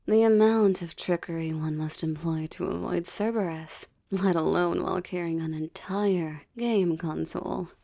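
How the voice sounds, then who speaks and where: A woman voicing a seductive, menacing succubus in an intimate audio roleplay, with formal, ornate phrasing and a teasing, breathy delivery. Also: on the low side, fairly even intonation, moderate pace, hardly any pauses, dark tone, no echo, studio quality. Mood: haughty. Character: seductive, menacing adult female succubus demon, teasing and possessive. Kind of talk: intimate audio roleplay, ASMR-like monologue addressed to listener.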